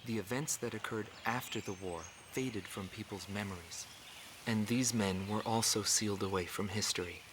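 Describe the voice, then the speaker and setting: A man playing a sober reporter, narrating a war documentary in a game with solemn, deliberate delivery. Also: lowish voice, background noise, close to an everyday voice.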